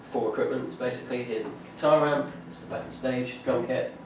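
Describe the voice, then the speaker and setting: A young man giving an informal class presentation, talking in a halting way with many fillers and restarts. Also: mid-range voice, steady tone. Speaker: music student presenting a performance plan. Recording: echoey room from far off, noisy.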